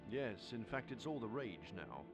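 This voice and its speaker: A learned gentleman doctor from a game drama, low-voiced and grave. He explains things formally and calmly, with music clearly playing behind him. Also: moderate pace. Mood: grave, explaining. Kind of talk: scripted video game drama.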